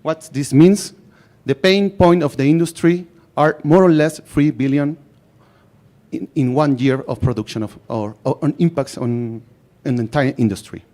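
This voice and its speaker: Male presenter, Chilean accent, Spanish native. Slightly high pitch, deliberate emphatic phrasing, rehearsed pitch delivery. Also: mellow. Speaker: startup founder presenting.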